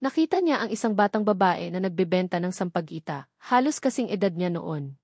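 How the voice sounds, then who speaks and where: A woman narrating a scripted sentimental story in an earnest, measured way. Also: on the low side, animated, a little mellow, husky, no echo, studio quality.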